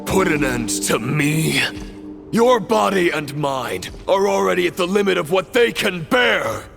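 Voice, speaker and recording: A man's voice: sits high, big pitch swings, measured pace, gravelly, heavily acted. An actor playing a proud, grave fallen knight in a video game, solemn, theatrical and condescending as he addresses his foe. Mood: menacing. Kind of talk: dramatic video game voice acting. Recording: music behind the voice. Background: dramatic game music.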